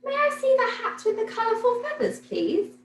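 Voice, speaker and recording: A woman's voice: very high voice, very animated, moderate pace, pitched above the performer's own voice. A woman voicing a polite, eager and dreamy little girl from a children's storybook. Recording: roomy echo, noticeable background noise.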